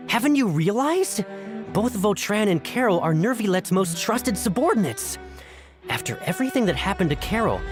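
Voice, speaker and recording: Animated, scheming townswoman, acted by a woman. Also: medium pitch, close to an everyday voice. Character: scheming, persuasive female citizen plotting to sway opinion. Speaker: voice actor in a video game. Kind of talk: dramatic game dialogue. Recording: loud background music.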